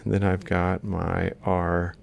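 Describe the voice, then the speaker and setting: A man's voice: low voice, monotone, takes it slowly, gravelly, a little hiss or hum. A man lecturing informally, explaining a worked example step by step as he writes. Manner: explains step by step while writing. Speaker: lecturer in robotics.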